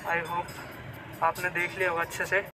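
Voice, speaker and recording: A man's voice: high voice, monotone, unhurried, loud music behind the voice, low-quality recording. A young man from Bihar, chatting casually as a travel vlogger on a phone held at arm's length, repeating himself and mixing in English words. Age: young adult. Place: outdoors in the city, then inside a moving car. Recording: city traffic noise behind.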